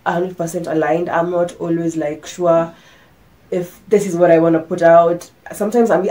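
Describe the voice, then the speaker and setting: A woman's voice: lowish voice, mellow, rough recording. A young woman chatting candidly to camera in her bedroom as a vlogger, sick and stuffy, losing her voice, restarting her sentences often. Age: young adult. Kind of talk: casual chat to camera. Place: bedroom, indoors. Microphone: camera in front, medium framing.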